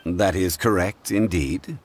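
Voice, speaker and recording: A man playing a composed, erudite gentleman in an English game dub, courteous, formal and measured. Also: low-pitched, slight background noise. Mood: polite, assured. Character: composed, erudite gentleman consultant, calmly sure of himself.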